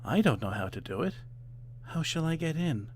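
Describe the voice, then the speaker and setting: An earnest little fairytale girl, played by a man reading aloud. The voice is lifted high and plaintive, as in a storybook, with faint noise on the recording. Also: very animated, normal speed. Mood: innocent.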